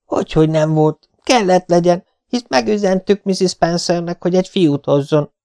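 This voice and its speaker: A man reading an audiobook voices a stern, brisk middle-aged spinster with a sharp, scolding manner. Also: a little high, very animated, creaky. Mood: shocked.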